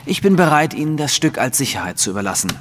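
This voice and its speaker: A man's voice: medium pitch, sing-song, fast talker, a bit bright. An actor playing a haughty, secretive nobleman in an audio drama, speaking formally and with self-assured command. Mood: imperious, assured. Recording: reverberant hall, faint background noise.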